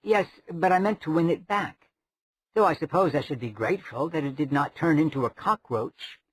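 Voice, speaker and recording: A man voicing a sharp-tongued, scornful adventuring woman with a dark, wry manner. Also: on the high side, moderate pace, no room sound, voice pushed up, close to an everyday voice, rough recording.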